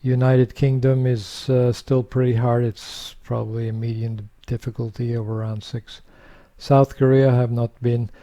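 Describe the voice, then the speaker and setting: A man's voice: medium pitch, little pitch movement, moderate pace, dark tone. An older man from Norway talking casually to camera, rambling with many fillers and sharing opinions. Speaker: vlogger.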